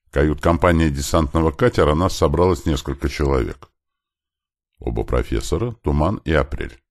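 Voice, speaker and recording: A man's voice: extremely low pitch, normal speed. A man reading a fiction audiobook, narrating a scripted first-person story with lightly voiced dialogue.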